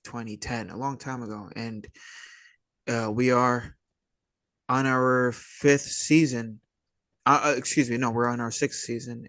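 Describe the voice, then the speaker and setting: A man giving casual interview answers as a guest, rambling and halting in an easygoing, dude-like way. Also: mid-range voice, no echo. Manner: rambling, halting, laid-back dude talk. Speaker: interview guest, indie filmmaker and writer.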